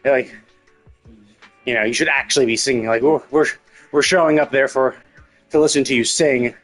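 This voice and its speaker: A man talks through a story game as a streamer, in a casual, rambling way. His voice sits at a middle pitch and he works out his thoughts aloud, with faint guitar music from the game behind him. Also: fairly even intonation, normal speed, fairly bright tone. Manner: mild casual swearing.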